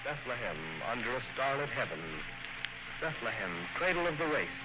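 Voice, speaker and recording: A man's voice: mid-range voice, big pitch swings, moderate pace, low-quality recording. A man narrating as a vintage radio announcer, in a solemn, grandly dramatic scripted style. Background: loud music.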